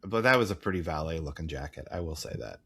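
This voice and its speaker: Man, bright forward voice, podcast co-host, casual recap style, rambling, irreverent, profane, flippant. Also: extremely low pitch, animated, fast talker, husky. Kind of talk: casual movie recap chat.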